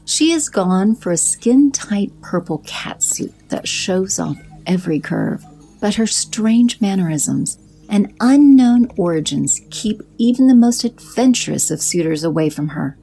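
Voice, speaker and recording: A woman narrating an audio drama in an even, descriptive way. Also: medium pitch, unhurried, vocal fry, soft background music, poor audio.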